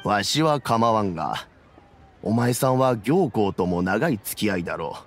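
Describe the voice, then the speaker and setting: An actor playing a weary, dignified old statesman with a measured, old-fashioned way of talking in a video game. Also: lowish voice, sing-song, soft background music. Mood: calm, considered. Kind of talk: video game dialogue acting.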